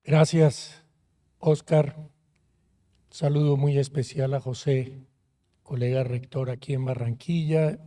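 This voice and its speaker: A man from Colombia, a university rector speaking on a conference panel in a friendly, measured way with frequent hesitations. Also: mid-range voice, fairly even intonation, warm tone. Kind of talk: panel talk. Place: conference auditorium.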